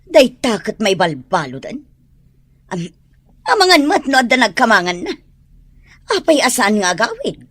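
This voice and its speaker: A woman's voice: on the high side, wildly swooping pitch, moderate pace, pauses often, slightly rough, no echo, exaggerated voice. A woman playing a bossy, scheming mother in an Ilocano audio drama. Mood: scheming, exasperated.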